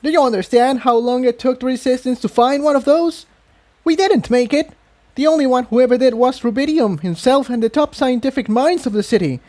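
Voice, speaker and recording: A man's voice: extremely high pitch, fairly quick, a little mellow, voice pushed up. A man voicing a fiery, indignant female pony resistance fighter in a fantasy storybook reading. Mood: outraged.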